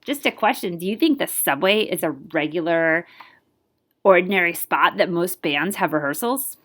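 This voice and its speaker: A woman reading a picture book aloud to children as a librarian, expressive and playful, with asides to her listeners. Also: lowish voice, normal speed. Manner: animated, playful with scat-like sound words.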